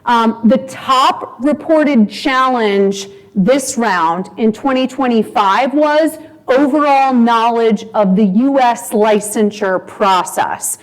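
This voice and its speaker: A woman presenting survey results at a conference session, measured and thorough, pausing often with ums as she walks through slides. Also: on the high side, rough recording.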